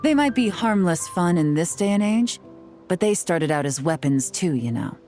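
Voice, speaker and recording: A woman playing a composed, knowing character in a video game, speaking in a measured, explanatory way. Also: lowish voice, expressive, dark tone, faint music behind, natural voice. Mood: calm, instructive.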